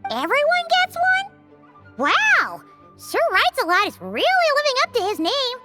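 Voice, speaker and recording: A woman voicing a tiny, childlike fairy companion in a video game, squeaky, cheeky and full of eager exclamations. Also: huge pitch swings, unhurried, full character voice. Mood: excited, cheeky. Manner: exclamatory, refers to herself in third person. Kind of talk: cartoonish video game voice acting. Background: music.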